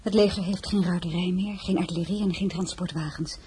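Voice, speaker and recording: An actress playing an earnest, anxious younger Frenchwoman, the plain-spoken heroine of an audio drama, in a measured manner. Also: on the low side, fairly even intonation, dark tone, slight background noise, lightly acted. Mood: grave, urgent. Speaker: actress and narrator. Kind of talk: acted audio drama with first-person narration.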